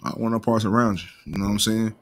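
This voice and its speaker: A man's voice: on the low side, flat delivery, very fast. An American man with a slight stutter, talking off the cuff as an online commentary host in slangy, profane, casual language. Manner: imperfect pronunciation of some words.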